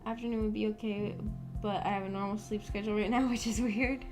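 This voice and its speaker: A woman's voice: medium pitch, steady tone, fast talker. A young adult woman streamer chatting casually with her viewers in a shy, rambling, hesitant way. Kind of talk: casual chat with livestream viewers. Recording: music bed under the voice. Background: background music.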